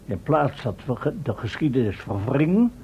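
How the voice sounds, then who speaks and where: Bossy impatient professor in a radio play, lively swings in pitch, muffled old recording. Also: male voice, normal speed. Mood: stern, impatient. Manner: commanding, curt, interrupting. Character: authoritative, impatient male professor leading time-travel experiments. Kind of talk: radio drama acting. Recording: dull, rumbly, noisy old radio recording.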